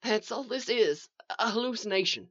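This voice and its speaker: A woman's voice: a little high, animated, normal speed, fairly bright tone, voice pushed up. Woman as trapped male caver, anxious, strained, insistent, shaky, story narration, echoey and slightly muffled recording. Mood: desperate.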